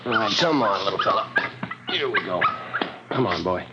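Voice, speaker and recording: A muffled, very noisy old radio recording carries an actor as a deep-voiced male police detective. He is gruff and clipped, all business, speaking in plain matter-of-fact lines. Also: expressive, normal speed, natural voice. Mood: weary, impatient. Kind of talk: 1950s radio crime drama acting. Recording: muffled vintage radio recording with loud noise.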